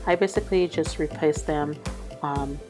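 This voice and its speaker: A woman narrating a casual cleaning vlog in a conversational, explanatory way, slightly hoarse from a cold. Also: low-pitched, normal speed. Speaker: vlogger. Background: background music.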